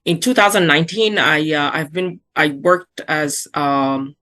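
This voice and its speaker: A middle-aged woman from South Sudan, a native Arabic speaker, telling her career path in a halting way with many fillers and restarts. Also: deep voice, pushed and bright. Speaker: program alumna and epidemiologist. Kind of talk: recounting career path in a webinar.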